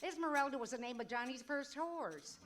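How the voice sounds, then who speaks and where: A woman's voice: high-pitched, lively intonation, moderate pace, fairly bright tone. A woman playing a bossy, teasing older mother in an old-time radio Western comedy, with folksy, scolding talk. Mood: exasperated, nagging.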